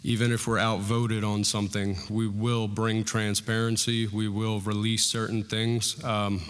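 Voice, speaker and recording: A man's voice: lowish voice, a bit bright. A young man running for school board, giving plainspoken, unpolished debate answers with many fillers. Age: young adult. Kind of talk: town hall debate statement. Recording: reverberant hall.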